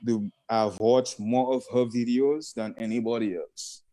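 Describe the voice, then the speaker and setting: A man's voice: medium pitch, fairly even intonation, unhurried, dark tone. A young man with a Caribbean accent, a guest comedian chatting casually and rambling as he searches for words. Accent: Caribbean English. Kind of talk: casual chat.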